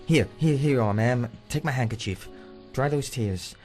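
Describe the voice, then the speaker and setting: A man's voice: medium pitch, moderate pace, a little mellow, faint music behind, close to an everyday voice. A man acting an eager, prying reporter in a radio play, stammering out insistent questions. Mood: solicitous.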